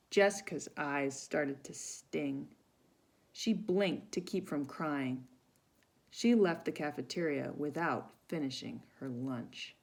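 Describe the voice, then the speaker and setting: Gravelly-voiced woman reading a children's storybook. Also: sits low. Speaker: volunteer storybook reader for children. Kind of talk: read-aloud children's story with asides to listeners.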